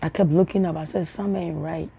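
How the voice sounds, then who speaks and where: A young woman from Africa speaks to her followers as a spiritual healer, in a low, gravelly, mellow voice. She rambles and repeats words. She often sinks into hushed, soft tones, and she talks close to the camera. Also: steady tone, fast. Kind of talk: casual spiritual talk to camera.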